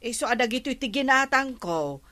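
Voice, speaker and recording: A woman's voice: on the high side, animated, normal speed, background noise, close to an everyday voice. An actress playing a vain village woman obsessed with whitening her skin in a radio comedy drama, with an Ilocano accent. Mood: unbothered, insistent.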